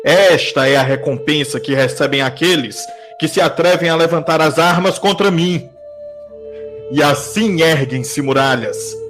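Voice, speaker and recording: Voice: medium pitch, measured pace, over music, natural voice. An actor playing an arrogant, menacing warrior king, boastful and threatening, in an audio drama. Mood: gloating.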